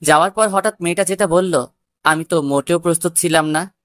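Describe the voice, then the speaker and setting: A woman's voice: on the low side, a little fast, dry recording. A woman narrating a scripted first-person love story.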